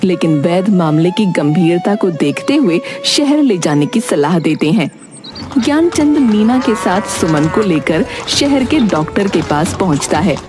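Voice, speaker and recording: A woman's voice: fairly low pitch, expressive, a little slow. Woman narrating a story over music. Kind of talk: scripted cartoon story narration. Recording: music behind the voice.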